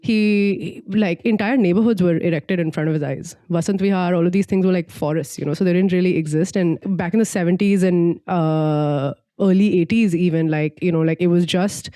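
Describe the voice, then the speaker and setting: A woman's voice: on the low side, moderate pace, hardly any pauses, dark tone, dry recording. A young woman from Singapore chatting casually and reflectively as a podcast co-host, in an informal way peppered with "like".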